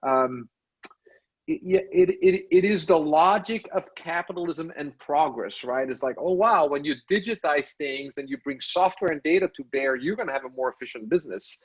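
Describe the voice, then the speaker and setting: A man's voice: fairly high pitch, lively intonation, normal speed, breathy edge, highs cut off. A man from Belgium, a podcast guest who thinks aloud in a casual interview, often checking in with "right?" and restarting his sentences. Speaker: podcast guest, tech studio lead.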